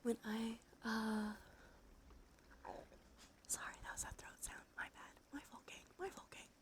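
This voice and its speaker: A woman doing gentle, unhurried ASMR in a breathy whisper and soft murmur, her nose slightly stuffy. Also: a little high, fairly even intonation. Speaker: ASMR streamer, host. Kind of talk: ASMR, soft intimate role play and rambling. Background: soft music.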